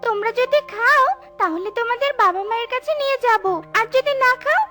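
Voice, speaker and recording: A woman's voice: very high voice, a little fast. A woman voicing a caring, protective elder sister bird in a children's cartoon. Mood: coaxing. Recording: music behind the voice.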